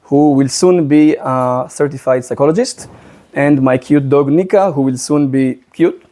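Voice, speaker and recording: Mellow-voiced Israeli man giving a talk. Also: on the high side, unhurried. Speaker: guest speaker, presenter. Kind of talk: public presentation to a student club.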